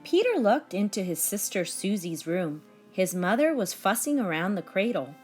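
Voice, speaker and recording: Very animated woman reading a children's story. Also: mid-range voice, normal speed, soft background music. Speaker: story reader. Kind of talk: children's read-aloud narration.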